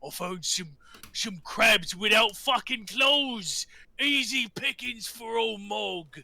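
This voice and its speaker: A man's voice: high-pitched, slow pace, creaky, pushed and bright, dry recording, voice pushed up, exaggerated voice. A man playing a grimy, cheerful, oblivious adventurer in a tabletop game, rambling in a folksy, unbothered way.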